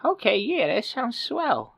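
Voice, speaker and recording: A woman's voice: on the high side, big pitch swings, a little slow, creaky, exaggerated voice. A woman playing a curious, friendly young boy in a wholesome radio sitcom, on slightly dull audio. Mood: eager, curious.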